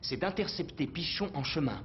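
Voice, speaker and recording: A man's voice: a little high, lively intonation, moderate pace, background noise, close to an everyday voice. A man voicing a resolute, earnest rebel leader in a French-dubbed cartoon. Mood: urgent briefing. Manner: commanding, earnest. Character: resolute heroic male leader of rebel cadets. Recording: dull lo-fi TV audio under music.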